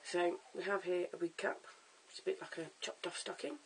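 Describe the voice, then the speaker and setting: A hoarse, croaky voice that crackles into gravelly fry, talking through a home wig tutorial. The delivery is chatty and stop-start, full of ums and small restarts, picked up by a camera's built-in mic with a faint hiss behind it. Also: mid-range voice, brisk. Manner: chatty, stop-start with fillers and self-corrections. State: hoarse, lost voice. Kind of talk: casual how-to demonstration.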